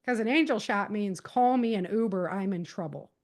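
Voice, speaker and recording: A woman's voice: medium pitch, moderate pace, a little mellow. A woman speaking as a podcast guest, giving practical advice in a casual, conversational way and explaining things with animation.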